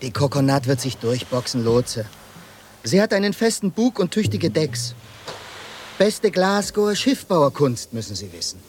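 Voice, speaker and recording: A man's voice: a little high, sing-song, moderate pace, a little mellow, slight background noise. A man playing a hearty, proud, confident ship's helmsman in a German radio play.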